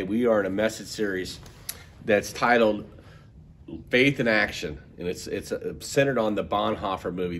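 A man preaching a podcast sermon, his voice clogged and congested from a head cold. He talks in a loose, conversational way, full of fillers and restarts, at a fairly low pitch. A faint low rumble sits under the recording. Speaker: pastor.

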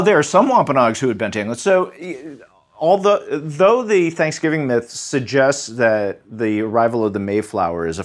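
A man with a mid-pitched, highly animated voice talks like a scholar being interviewed. He explains things articulately and leans on key points with emphasis. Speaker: history professor, podcast guest.

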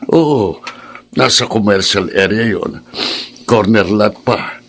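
A man's voice: fairly low pitch, takes it slowly, a bit bright, exaggerated voice. A Filipino man playing an earnest, generous but firm elderly father in a radio drama, speaking in a paternal way. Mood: kindly, reassuring. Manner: earnest, paternal, scolding when crossed.

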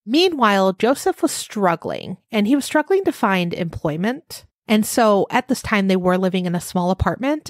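A woman's voice: medium pitch, dry recording, clean studio sound. Woman co-hosting a true crime podcast. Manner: conversational, reading researched notes. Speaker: podcast co-host.

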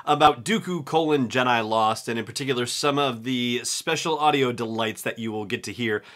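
An enthusiastic man in his forties hosts a solo podcast with a mid-pitched voice. He talks casually and emphatically, chatting straight to the listener from a desk at home. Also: normal speed, fairly bright tone. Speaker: podcast host. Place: small home room indoors.

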